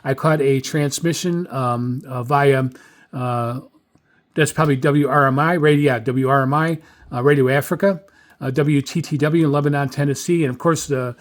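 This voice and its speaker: Man, medium pitch, halting speech, informal hobbyist log rundown. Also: fairly even intonation. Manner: halting, frequent hesitations. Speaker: radio hobbyist host. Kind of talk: informal spoken log review.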